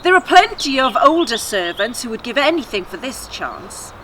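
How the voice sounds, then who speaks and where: A woman's voice: on the high side, sing-song, moderate pace, pitched above the performer's own voice. A woman voicing a curious, nervous young servant boy in a children's story, full of eager questions. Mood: eager, surprised. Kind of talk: children's storybook character reading. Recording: faint outdoor garden noise.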